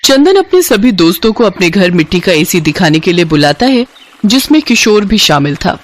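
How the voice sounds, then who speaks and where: A woman's voice: mid-range voice, very animated, normal speed. A woman narrating a scripted children's moral story.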